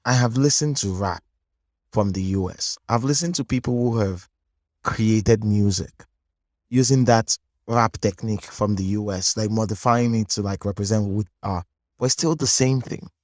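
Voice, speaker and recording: A man's voice: lowish voice, normal speed, a little mellow, no echo, clean studio sound. A man, a podcast host making a careful, articulate argument. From Nigeria. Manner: articulate, argumentative, builds points in lists. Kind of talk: thoughtful interview talk.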